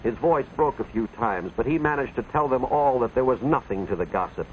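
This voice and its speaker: An actor playing an earnest, moralizing school official who narrates a cautionary tale in a 1950s educational film. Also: male voice, medium pitch, lively intonation, fairly quick, close to an everyday voice. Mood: earnest, dramatic. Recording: dull, noisy 1950s educational film soundtrack.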